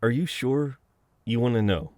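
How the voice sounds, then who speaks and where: A man playing a flustered, teasing, protective best friend in an intimate, conversational audio roleplay, with halting banter. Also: medium pitch, expressive, darkish tone, dry recording, lightly acted. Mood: teasing, gently firm.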